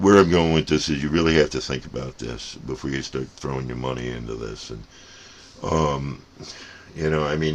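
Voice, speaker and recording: Casting: older male hobby vlogger, gravel-edged bottom-register voice, casual and digressive, repeats words for emphasis. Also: a bass voice. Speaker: CB radio hobbyist giving advice. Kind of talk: casual rambling hobby vlog monologue. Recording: noticeable steady background noise.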